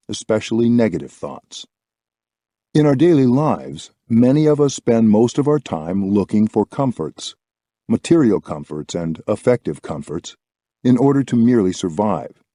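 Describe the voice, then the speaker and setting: A man reading a scripted spiritual audiobook with measured, deliberate phrasing. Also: fairly low pitch, dark tone, no echo, clean studio sound. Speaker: audiobook reader.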